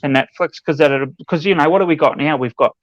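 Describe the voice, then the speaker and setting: A man's voice: on the high side, fast, dry recording, muffled recording. A man on a podcast panel giving his opinions in casual chat.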